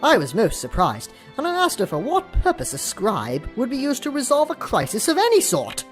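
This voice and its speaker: A woman's voice: a little high, huge pitch swings, moderate pace, faint music behind. A woman playing a solemn, self-important ancient scribe, telling a chronicle in archaic, theatrical language for an audio drama. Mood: solemn, grand.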